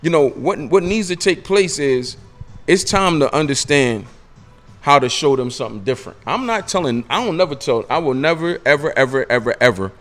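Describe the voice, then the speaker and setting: A man's voice: on the high side, animated, brisk, fairly bright tone, faint music behind. A man in his late forties, a radio interview guest, talking emphatically in street slang and hammering his points with repetition. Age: 40s. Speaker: podcast guest.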